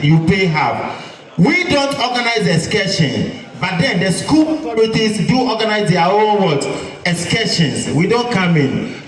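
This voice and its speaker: A high-voiced man with a Ghanaian accent works a room of students, throwing out questions and waiting for them to answer back. He sounds echoey and far from the mic, with the noise of a big crowd behind him. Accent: Ghanaian English. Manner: lively, preachy, draws the crowd into answers. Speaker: speaker addressing students. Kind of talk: call-and-response school talk. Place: large hall full of students.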